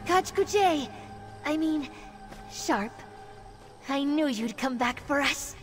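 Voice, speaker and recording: A high-voiced earnest freed captive. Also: female voice, lively intonation, slow, faint music behind. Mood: relieved. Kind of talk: video game voice acting.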